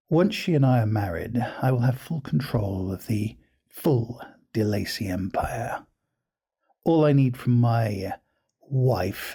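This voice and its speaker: Man acting a suave scheming aristocrat, mellow and gravelly. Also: medium pitch, full character voice. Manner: formal, suave, measured. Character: scheming aristocratic gentleman, smooth, coldly ambitious. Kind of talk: scripted audio roleplay drama.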